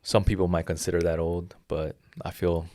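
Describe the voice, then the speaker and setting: A man's voice: low-pitched, flat delivery, normal speed, mellow, no echo. A man in his thirties, a jiu-jitsu instructor, explaining his philosophy earnestly in a casual interview with frequent restarts. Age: 30s.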